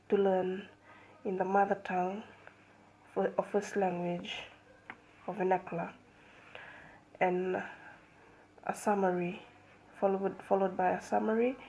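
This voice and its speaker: A young woman giving a seminar presentation as a student, measured and hesitant, with fillers and restarts. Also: mid-range voice, gravelly, a little mellow, slight background noise, low-quality recording. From Fiji.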